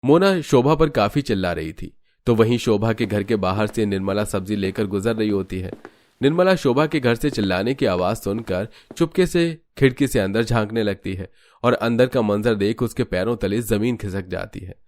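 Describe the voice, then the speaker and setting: Male narrator, low pitch, scripted storytelling, animated moral tale. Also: moderate pace, dry recording.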